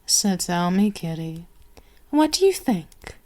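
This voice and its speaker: A woman's voice: lowish voice, big pitch swings, takes it slowly, dark tone. A woman playing an imperious, sadistic vampire queen in an ASMR roleplay, formal and commanding, seductive and possessive as she savors each phrase. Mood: regal, smug.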